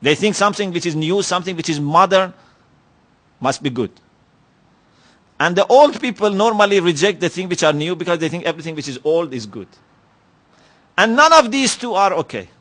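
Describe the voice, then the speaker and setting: A man's voice: high-pitched, animated, a bit bright. Reflective man lecturing. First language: Persian. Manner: measured, reflective, with many pauses and "you know" fillers. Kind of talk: religious lecture. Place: lecture hall.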